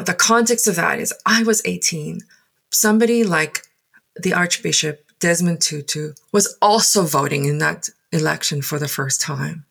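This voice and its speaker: A woman in her forties with a South African accent and Afrikaans as her mother tongue recalls her past in English. Her voice sits on the low side with a lot of vocal fry. She speaks reflectively, stopping often and filling gaps with "um.". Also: a bit bright. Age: 40s. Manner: thoughtful, halting, many pauses and fillers. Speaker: guest. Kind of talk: reflective personal storytelling.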